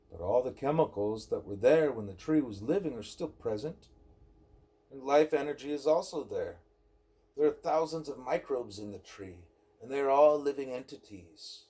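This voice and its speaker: A man reading a scripted spiritual dialogue as an audiobook, in a measured way with emphasis on rhetorical questions. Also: a little high, warm tone, slightly rough. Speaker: audiobook reader.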